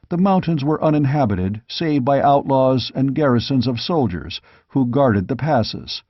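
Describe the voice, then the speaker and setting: A man's voice: mid-range voice, no echo, muffled recording. A man narrating a fantasy novel as a scripted audiobook.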